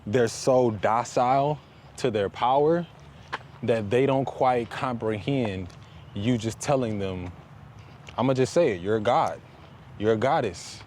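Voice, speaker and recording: A man's voice: medium pitch, a little mellow. A man talking in a motivational, conversational vlog style, emphatic and building points through repeated phrases. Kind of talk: motivational conversational talk while walking. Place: outdoors, walking up a paved hill. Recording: noisy outdoor recording with traffic.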